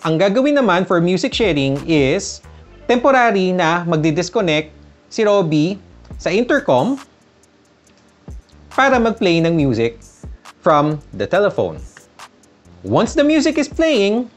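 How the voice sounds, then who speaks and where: Woman, Filipino accent, low pitch, expressive intonation, casual how-to vlog narration. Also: measured pace, pauses often, faint music behind. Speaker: vlogger. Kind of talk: tutorial narration mixing casual and instructional talk.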